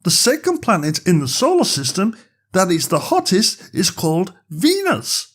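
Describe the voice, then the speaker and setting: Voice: mid-range voice, huge pitch swings, moderate pace, slightly rough, voice pushed up. A storyteller voicing a small, chubby, friendly alien creature who eagerly explains things as a planetary guide in a children's bedtime story. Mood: explaining.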